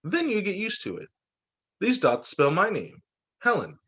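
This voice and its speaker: A man reading a picture book aloud to children as a teacher, in an expressive, dramatizing way. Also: sits high, a little slow, breathy edge. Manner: expressive, dramatizing quoted lines.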